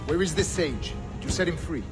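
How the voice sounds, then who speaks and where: A man's voice: a little high, brisk, lightly acted. A man playing a stern, commanding colonial interrogator with a Spanish accent in a video game. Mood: stern, accusing. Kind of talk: cinematic video game acting. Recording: loud music under the dialogue.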